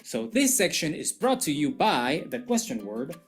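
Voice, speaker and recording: A woman's voice: low-pitched, sing-song, unhurried, faint music behind. A woman hosting a scripted language-lesson video in a playful, jokey manner. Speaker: video host.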